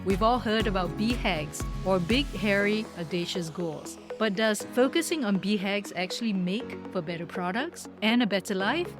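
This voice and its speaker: A woman reading a scripted podcast introduction in a smooth, polished way. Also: medium pitch, expressive, normal speed, few pauses. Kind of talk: scripted narration. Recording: announcer voice over music. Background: music bed.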